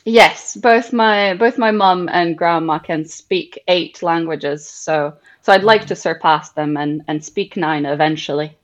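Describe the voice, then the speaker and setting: A woman's voice: lowish voice, unhurried, hardly any pauses, highs cut off. A young woman whose first language is Czech, speaking English with a Czech accent and a slight Scottish lilt, answering interview questions thoughtfully with frequent ums and small restarts. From Czech Republic. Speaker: guest, English teacher.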